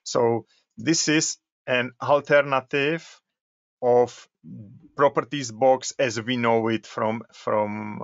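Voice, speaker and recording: Male presenter, slow and halting, dry dull webinar audio. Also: fairly low pitch, fairly bright tone, no room sound. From Czech Republic. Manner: halting, repeats words while demonstrating. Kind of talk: software demo walkthrough.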